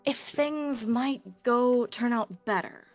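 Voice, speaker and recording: A woman's voice: a little high, slow pace. Casual woman player over faint music. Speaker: tabletop game player. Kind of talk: casual roleplay chat. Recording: music behind the voice.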